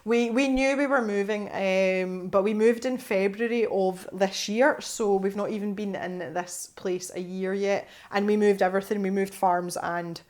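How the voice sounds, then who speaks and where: A woman's voice: medium pitch, fairly even intonation, unhurried, few pauses, darkish tone. Chatty Scottish woman, west coast accent. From west coast of Scotland. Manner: chatty, laughs often, restarts sentences. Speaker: art channel host. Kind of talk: casual chatty vlog answering questions.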